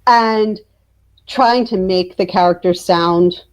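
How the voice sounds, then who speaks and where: A woman's voice: mid-range voice, takes it slowly. A woman chatting candidly and emphatically as an author being interviewed. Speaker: guest, author. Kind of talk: casual interview conversation.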